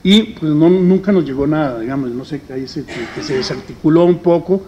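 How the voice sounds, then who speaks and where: A middle-aged man speaking on a forum panel in a conference hall, halting often with fillers. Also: fairly high pitch, a little mellow, highs cut off, slight background noise. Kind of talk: public forum talk.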